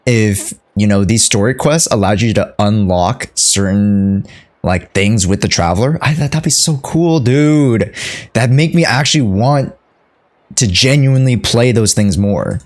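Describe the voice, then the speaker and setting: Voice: medium pitch, lively intonation, measured pace, darkish tone. A game streamer giving casual, slangy playthrough commentary and reacting to the scenes as they play.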